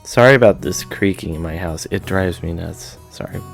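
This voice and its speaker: A man's voice: low voice, moderate pace, creaky, soft background music. A man hosting a visual novel read-aloud, moving between informal chat and story narration.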